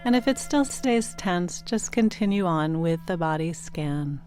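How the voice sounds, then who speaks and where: Female meditation guide for sleep audio: lowish register, very slow, gentle delivery over quiet music. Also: expressive. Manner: gentle, soothing, unhurried instruction with pauses. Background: meditation music with singing bowl tones.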